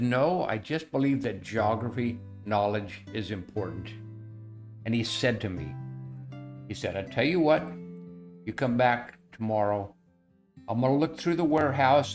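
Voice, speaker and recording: An older man speaks in an earnest, folksy way, circling back with fillers and repeated phrases as he tells a personal story. His voice sits at an ordinary middle pitch. Soft guitar music plays faintly underneath. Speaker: educational software founder telling a story.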